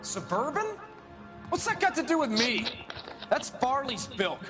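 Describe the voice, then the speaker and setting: An actor playing a defiant, evasive activist worker under police questioning, answering in a curt, scornful, defensive way. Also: male voice, very high voice, very animated, unhurried, heavily acted. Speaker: video game suspect. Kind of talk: dramatic interrogation acting. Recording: music under the dialogue.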